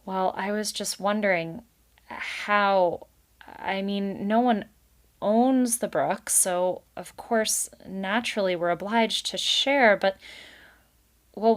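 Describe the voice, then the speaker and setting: A woman's voice: mid-range voice, natural voice. A woman voicing a polite, anxious old male animal in a children's storybook, hesitant and plaintive. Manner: hesitant, plaintive, pleading, courteous.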